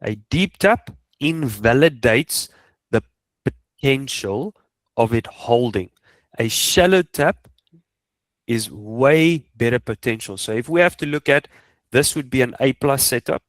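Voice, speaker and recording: Male coach, Afrikaans-speaking South African accent. Informal, emphatic instruction with rhetorical questions, average pitch, very little room sound. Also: expressive, unhurried, no room sound. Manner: emphatic, repeats points, asks rhetorical questions. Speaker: trading coach. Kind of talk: informal instructional screen walkthrough.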